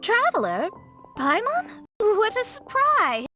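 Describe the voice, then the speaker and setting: A woman's voice: extremely high pitch, huge pitch swings, slow pace, sharp tone, soft background music, full character voice. A woman voicing a poised, proud young astrologer in a video game, articulate and explanatory. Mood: surprised. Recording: slightly dull, dry studio audio.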